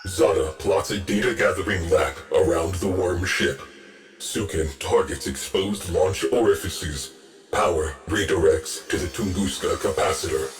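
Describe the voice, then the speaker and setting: A very low-voiced, weary military ship intelligence, speaks in clipped, solemn phrases. The delivery is slow and stern, like a veteran officer briefing a crew in a sci-fi game, with faint music underneath. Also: male voice. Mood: grave, brooding. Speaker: mission control and ship intelligence. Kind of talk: scripted video game mission briefing.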